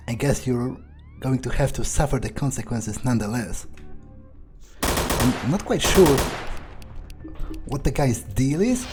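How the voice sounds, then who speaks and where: A man voicing an arrogant, brooding vampire in a game playthrough, musing aloud in a halting, profane way. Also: mid-range voice. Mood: haughty. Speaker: gaming YouTuber. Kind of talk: in-character game playthrough monologue, thinking aloud.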